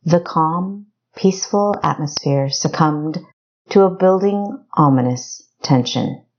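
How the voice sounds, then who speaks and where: Low-voiced, slow-paced woman narrator. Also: darkish tone, muffled recording. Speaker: author narrating own audiobook. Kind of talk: scripted fiction narration.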